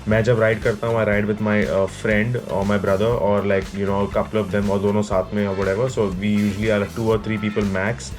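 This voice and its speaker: A man's voice: on the low side, flat delivery, takes it slowly, hardly any pauses, faint music behind. A man with an Indian accent gives a casual, informal product review, explaining things to viewers and switching between two languages. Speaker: motorcycle gear reviewer. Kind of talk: casual product review, mixing Hindi and English.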